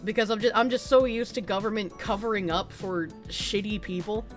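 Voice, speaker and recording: A woman with a mild Boston accent, talking loosely to her live chat. She rambles, swears freely and restarts her sentences, at an ordinary pitch for a woman. Soft music plays faintly behind her. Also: a little fast. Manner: rambling, frank, profane, with frequent restarts. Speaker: livestreamer. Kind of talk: casual live-stream chat reading donations.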